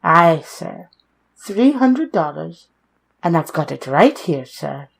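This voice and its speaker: A woman's voice: low-pitched, big pitch swings, slow, gravelly, slightly rough, heavily acted. An actress playing a meek, earnest young woman seeking work, timid, polite and deferential, in an old-time radio drama style. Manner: polite, timid, hesitant, formal.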